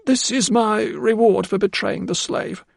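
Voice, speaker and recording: Remorseful housekeeper woman, put on by a man: quavering, pleading, lifted pitch, gravelly undertone. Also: measured pace, no echo, clean studio sound, heavily acted. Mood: tearful pleading.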